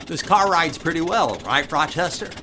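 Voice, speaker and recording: An actor playing a vain, stingy middle-aged comedian, boastful and easily exasperated, in 1960s radio comedy style. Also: male voice, a little high, animated, bright, forceful tone. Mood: proud, impatient. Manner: boastful, indignant, hesitant, drawn-out delivery. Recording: noisy, hissy radio comedy recreation.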